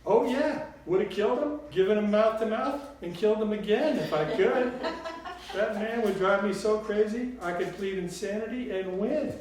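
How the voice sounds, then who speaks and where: Old Southern grandmother spirit for an audiobook, played by a man: high voice, sweet drawl, blunt sass, heavy room echo. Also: moderate pace, crowd noise, lightly acted. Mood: gleefully blunt. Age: older. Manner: folksy drawl full of endearments. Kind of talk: audiobook storytelling character voice. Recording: very echoey room, distant mic, faint noise.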